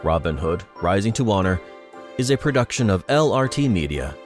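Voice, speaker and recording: A man reading scripted credits as an announcer, in a measured, presentational style. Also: low-pitched, big pitch swings. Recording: music behind the voice.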